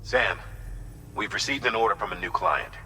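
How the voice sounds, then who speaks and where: A businesslike superior officer from a video game. His voice is low and very forceful, brisk with authority, trailing long reverb over faint noise. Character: businesslike male superior relaying orders.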